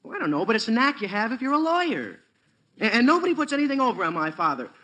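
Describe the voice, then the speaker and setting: A cocksure American teenage boy, bright and forceful in tone. The delivery swings up and down with earnest eagerness, in the manner of a 1940s radio sitcom. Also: extremely high pitch, sing-song, fast, heavily acted. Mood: boastful, insistent.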